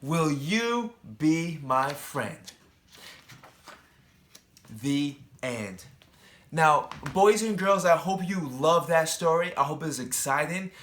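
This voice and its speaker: Slow-paced, high-voiced man reading to children. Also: fairly bright tone. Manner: addresses young children directly, asking questions. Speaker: teacher reading a picture book aloud. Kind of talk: children's read-aloud with chatty asides.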